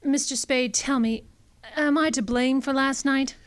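A woman's voice: high voice, steady tone, measured pace. An actress playing an anxious, secretive femme fatale in a radio drama, hesitant and melodramatic in her pleading. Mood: contrite, nervous. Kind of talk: old-time radio detective drama acting.

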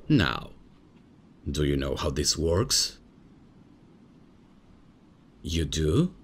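Very deep, laid-back bumbling professor played by a man. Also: heavily acted. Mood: friendly, upbeat. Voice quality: deep, gravelly, husky. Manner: casual, hesitant, chuckling, unprofessional. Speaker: roleplay audio performer. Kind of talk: comedic ASMR roleplay, spoken to the listener.

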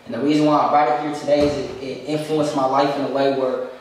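A man's voice: medium pitch, flat delivery, fast talker, slight background noise. A young man talking casually as an interview guest, laid-back and repeating "you know what I'm saying" as he speaks. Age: young adult. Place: room with a leather couch, indoors. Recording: echoey room from a distance.

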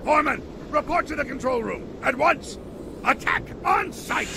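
A man voicing a gloating, middle-aged mad scientist villain in a video game, booming and theatrical with a gravelly voice. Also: normal speed, noisy background. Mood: gloating, menacing. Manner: theatrical, cackling, barking orders. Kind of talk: video game cutscene acting. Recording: loud music behind.

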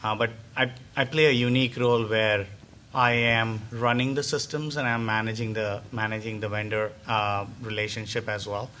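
A man seated at a panel table introduces himself into a table microphone, his voice bright and forceful but held to a flat, narrow pitch. He speaks in a measured way, pausing often on fillers, with faint room noise behind him. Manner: measured, with frequent filler pauses. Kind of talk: self-introduction at a conference panel.

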